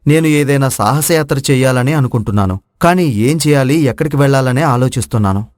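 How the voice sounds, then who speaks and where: A man acts out a young prince in a storybook drama. He is earnest, respectful and eager, with a medium-pitched voice and a dry recording. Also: a little fast, dry recording, natural voice. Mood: curious. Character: dutiful, eager young prince seeking adventure. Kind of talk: dramatized moral story dialogue.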